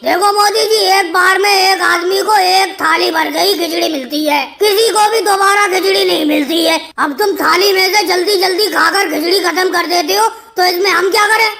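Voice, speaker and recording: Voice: very high voice, moderate pace, creaky, hardly any pauses, pushed and bright, soft background music, full character voice. A performer voicing a squabbling male cartoon caricature in a whiny, emphatic comic dialogue style. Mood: pleading, bickering. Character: pitched-up cartoon caricature of a squabbling male politician schoolboy or labourer.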